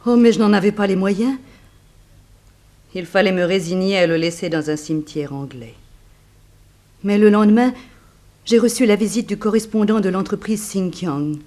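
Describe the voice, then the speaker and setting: Widow role, played by a man, vintage sixties radio drama: high-pitched, plaintive, earnest, credulous. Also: moderate pace, pauses often, darkish tone, natural voice. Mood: plaintive, resigned. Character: plaintive, trusting widow recounting her misfortune. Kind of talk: 1960s French radio drama acting.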